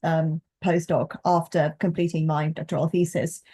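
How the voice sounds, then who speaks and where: A woman's voice: low-pitched, flat delivery, normal speed, warm tone, slightly rough. A young woman researcher in a reflective conversation, speaking articulately and thoughtfully with hesitant phrasing. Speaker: postdoctoral folklore researcher and co-host.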